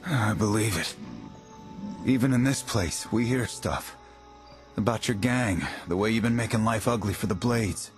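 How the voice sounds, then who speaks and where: A man's voice: lowish voice, unhurried, soft background music, lightly acted. A man voicing a scrappy, resentful enslaved miner in a video game, folksy and plain-spoken. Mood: bitter, eager.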